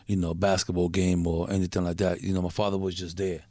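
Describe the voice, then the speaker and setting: A middle-aged man from Puerto Rico, a guest in a casual interview, who talks in a halting way, repeating words and using street slang. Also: sits low, little pitch movement, dark tone, no room sound, clean studio sound.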